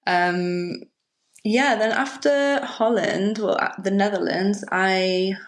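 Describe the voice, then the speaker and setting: A woman in her thirties from Liverpool with a softened Liverpool accent, a podcast guest chatting in a rambling way and laughing often. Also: mid-range voice, slow. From Liverpool, England. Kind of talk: casual chat.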